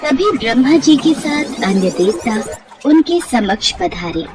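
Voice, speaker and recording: A woman's voice: a little high, very animated, a little slow, music in the background. A woman narrating a scripted devotional story as a storyteller.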